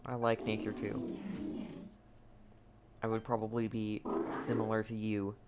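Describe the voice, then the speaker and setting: A woman's voice: extremely low pitch, monotone, measured pace, heavy background noise. A young woman giving casual game commentary as a streamer, often hesitant and repeating herself.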